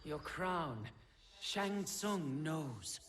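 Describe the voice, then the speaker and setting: An actor voicing a proud, obedient otherworldly warrior with calm menace in a video game cutscene. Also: mid-range voice, very slow. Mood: calm, resolute. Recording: loud music behind the voice.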